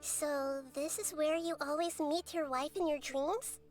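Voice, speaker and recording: A woman voicing a gentle, wise girl goddess in a game, talking softly and kindly, with game music behind. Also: sits high, steady tone, unhurried. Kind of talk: game character dialogue.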